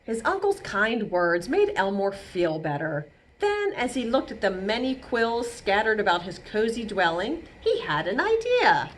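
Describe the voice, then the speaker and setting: Animated woman reading a picture book aloud. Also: a little high, slight background noise. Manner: animated, explains words and pictures simply. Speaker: children's librarian reading at storytime. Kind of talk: expressive picture-book read-aloud with asides to young children.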